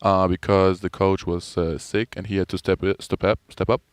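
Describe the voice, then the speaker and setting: A young man from Germany with a German accent, a college basketball player answering interview questions in a relaxed, hesitant way. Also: deep voice, moderate pace, dry recording. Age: young adult. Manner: relaxed, hesitant, many fillers. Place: arena media day.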